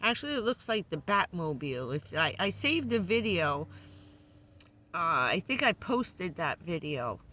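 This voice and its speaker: A hoarse woman who says she is losing her voice, giving a loose, chatty van-life update full of fillers. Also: fairly low pitch, sing-song, unhurried, pushed and bright, slight background noise. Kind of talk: casual rambling live update. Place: living in a van.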